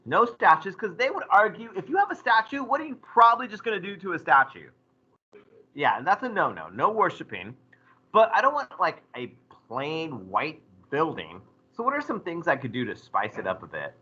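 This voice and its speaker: A man teaching a history class, lecturing in a lively way and putting questions to his students. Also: fairly high pitch, moderate pace, breathy edge, dull audio. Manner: animated, asks the class questions.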